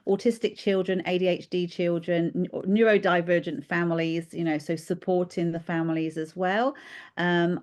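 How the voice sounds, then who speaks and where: A woman's voice: lowish voice, clean tone. A British-born woman, a children's therapist, talking in a podcast interview and telling her story with many "um"s and a reflective manner. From United Kingdom. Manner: warm reflective storytelling with frequent fillers. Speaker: guest therapist.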